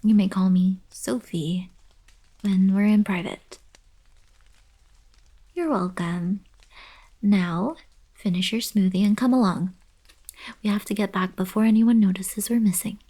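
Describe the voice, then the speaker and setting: A woman playing a gentle, regal young alien princess in an intimate ASMR roleplay, soft-spoken and half-whispering, a little hesitant and shy. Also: mid-range voice, fairly even intonation, a little slow, pauses often, husky. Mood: flustered, playful.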